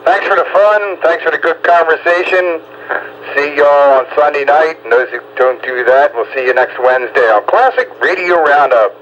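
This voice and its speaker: A man's voice: a little high, normal speed, fairly bright tone. A man chatting casually as an amateur operator over two-way radio. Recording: muffled radio signal, faint static.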